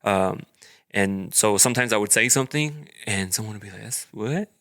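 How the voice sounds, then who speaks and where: A man with a low voice and a bright, forceful edge hosts a casual podcast chat. He rambles, restarts his sentences and leans on fillers as he keeps the conversation friendly. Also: normal speed. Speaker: podcast host.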